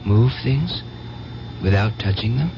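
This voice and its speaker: An actor playing a naive, devout young orphan with an intense, earnest manner in a radio drama. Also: male voice, lowish voice, animated, unhurried, vocal fry, background noise. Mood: fervent. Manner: earnest, fervent about visions and powers. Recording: muffled old radio broadcast with music.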